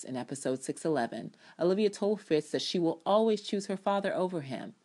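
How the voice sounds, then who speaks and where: Woman, low-pitched voice, emphatic delivery, opinionated commentary, dramatic essay reading. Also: darkish tone. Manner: emphatic, opinionated, acts out quotations. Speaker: TV show reviewer.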